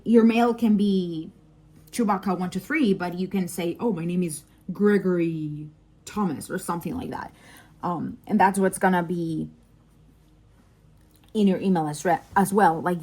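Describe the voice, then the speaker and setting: The speaker is a woman from Mexico with a Mexican accent and Spanish as her native language. Her voice is mellow and sits at an average pitch. She tells stories casually, with animated emphasis, and often breaks off to correct or interrupt herself. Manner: animated, digressive, self-interrupting. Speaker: vlogger and crafter, telling work anecdotes. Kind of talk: casual chatty storytelling.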